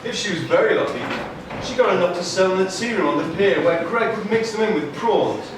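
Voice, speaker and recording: A man's voice: high-pitched, lively intonation, brisk. A man narrating a comic scripted story at a live puppet show. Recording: echoey noisy hall, recorded from far off.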